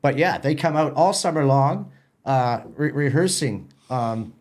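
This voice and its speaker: Mid-pitched man, halting talker. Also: expressive, a little mellow. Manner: halting, repeats words, tags with "right?". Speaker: festival organiser, podcast guest. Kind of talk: casual interview chat.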